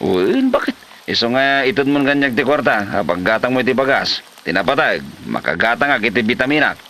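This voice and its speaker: Lalaki a mangiyakar iti nasadut a mammartek nga asawa, agsirsirok ti timekna. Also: male voice, mid-range voice, sing-song, brisk, pushed and bright, a little hiss or hum. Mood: wheedling. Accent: Ilocano. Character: lazy, hard-drinking husband who wheedles and whines. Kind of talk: comedy drama acting in Ilocano.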